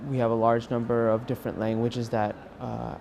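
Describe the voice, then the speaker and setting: A young man with an American accent giving a personal testimony in an earnest, halting way with pauses. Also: mid-range voice, completely flat delivery, vocal fry, darkish tone, slight background noise. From California, United States. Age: young adult. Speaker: university student interviewed as a pilgrim.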